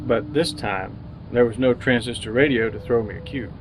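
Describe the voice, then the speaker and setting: A man's voice: medium pitch, normal speed, background noise, natural voice. An actor playing an earnest, jaded young ad man who narrates his misadventures in old-time radio drama style. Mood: wry, brooding.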